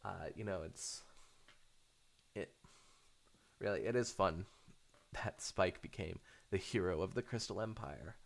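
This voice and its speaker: Low-pitched, gravelly man rambling casually. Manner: hesitant, halting, repeats words as they think aloud. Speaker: host of a cartoon fan channel. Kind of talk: casual unscripted rambling fan commentary.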